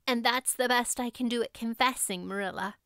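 A woman's voice: on the high side, a little fast, a bit bright, clean tone, no echo, clean studio sound. A woman voicing an imaginative, earnest young girl with a theatrical, pleading manner, reading from a storybook. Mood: solemn, dreamy.

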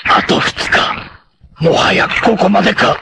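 A man's voice: sits high, unhurried, gravelly, exaggerated voice. A man voicing a proud, stoic young male martial artist who is dying, in 1980s TV anime style, speaking in grave, solemn declarations with sudden anguished outbursts. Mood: strained. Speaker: voice actor. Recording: old TV anime audio with hiss.

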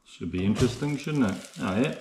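A man's voice: medium pitch, lively intonation, a little fast, darkish tone, a little hiss or hum. A man narrating a casual camping and hiking vlog to camera in a chatty, understated way, often adding little tag questions. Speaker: solo hiking vlogger.

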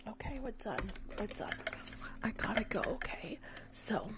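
A woman's voice: fairly high pitch, lively intonation, a little slow. A young woman playing a rude, impatient, sassy nail technician in a whispered ASMR roleplay, close to the microphone. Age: young adult. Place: small decorated room indoors. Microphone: fluffy-covered microphone, close up.

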